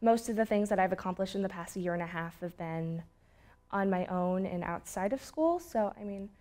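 A young woman student muses through her answers in a studio interview, with frequent fillers. Her voice sits at a middle pitch. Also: animated, normal speed, a little mellow. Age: young adult. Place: studio with plain backdrop.

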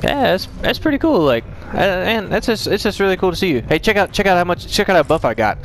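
Man acting excitable boastful young male cousin, gushing slang, game roleplay, some background noise. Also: high-pitched, fast talker, voice pushed up, full character voice. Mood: excited.

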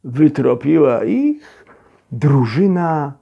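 A man reading a story as a storyteller, with expressive, theatrical phrasing and dramatic pauses. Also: on the high side, vocal fry, breathy edge, lo-fi sound. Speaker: storyteller, actor reading a children's audio story. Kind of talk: dramatic scripted storybook narration.

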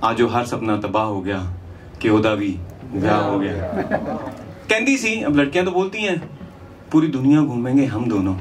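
A man's voice: mid-range voice, animated, normal speed, pauses often. Male comic, distant noisy sound. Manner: conversational asides between recited rhyming verses. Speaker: stand-up comedian. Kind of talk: lyrical stand-up comedy, rhymed storytelling. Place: small indoor comedy venue on stage. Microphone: handheld microphone.

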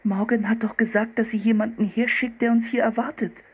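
Storybook young girl, played by a male reader: high, husky, curious, quick to delight. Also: level tone, full character voice. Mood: worried.

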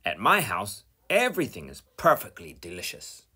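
A man reading aloud and voicing a prim, proper young girl pig in a storybook, his pitch pushed up and bouncing, with a husky edge. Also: wildly swooping pitch, moderate pace, full character voice. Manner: bright, exaggerated high delivery.

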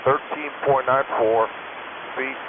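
A man's voice: mid-range voice, fairly even intonation, takes it slowly. A man in an aircraft giving procedural reports over radio. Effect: radio transmission. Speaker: aircraft crew reporting to tower. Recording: tinny radio with loud hiss.

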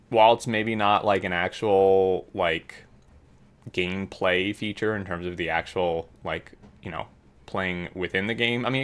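A man's voice: low-pitched, a bit bright. Chatty man reading a game dev blog. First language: English. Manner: chatty, filler-heavy, upbeat. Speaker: gaming YouTuber.

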